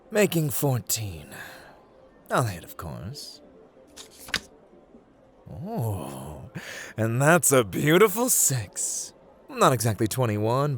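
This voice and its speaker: A man playing a playful, taunting god of luck who deals cards, in a theatrical ASMR roleplay with deliberate speech and hushed murmurs. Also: fairly low pitch. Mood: smug, playful. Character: playful, taunting male god of luck, smooth-talking card dealer.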